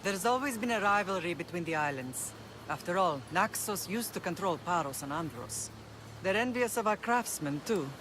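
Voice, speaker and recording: A woman's voice: fairly low pitch, expressive, moderate pace, natural voice. An actress playing a firm, authoritative middle-aged woman who leads an ancient Greek town in a video game. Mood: resolute. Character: commanding ancient Greek woman leader, long-lost mother. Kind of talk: video game cutscene acting. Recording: orchestral game music behind.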